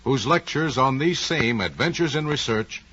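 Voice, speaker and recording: A man's voice: medium pitch, animated, moderate pace, a bit bright, a little hiss or hum. A man with an American accent speaking as announcer and interviewer in a scripted 1940s radio program, with polished, folksy delivery. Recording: muffled, lo-fi 1940s radio recording.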